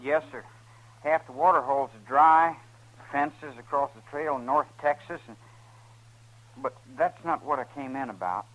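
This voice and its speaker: A man's voice: mid-range voice, moderate pace, a bit bright, natural voice, rough recording. An actor playing an anxious, polite eighteen-year-old son of a cattleman in a radio western, hesitant and respectful. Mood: nervous, respectful. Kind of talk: 1950s radio western acting. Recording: old radio drama recording.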